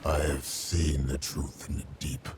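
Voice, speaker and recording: An actor playing a vengeful, haunted undead killer in a video game, ominous and intense, gravelly and breaking into whispers. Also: male voice, extremely low pitch, little pitch movement, measured pace, background noise. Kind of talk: video game cutscene acting.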